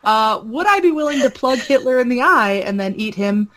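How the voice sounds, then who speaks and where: A woman's voice: on the high side, lively intonation, moderate pace, a bit bright. A woman in casual comedic chat, wry and self-deprecating, given to exaggeration. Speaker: podcast guest.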